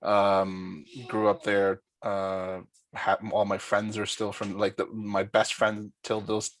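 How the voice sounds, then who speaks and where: A man's voice: on the low side, completely flat delivery, slow pace, fairly bright tone. A young man from Dubai, a podcast guest talking casually and explaining his points step by step, often checking in with "right?". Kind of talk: casual conversational interview.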